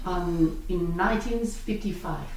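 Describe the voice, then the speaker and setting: A woman's voice: on the low side, a little mellow. Hesitant older woman, echoey. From South Vietnam. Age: 60s. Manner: hesitant, halting with many fillers and self-corrections. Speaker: interviewee. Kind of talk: oral history interview. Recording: echoey room, distant mic, faint noise.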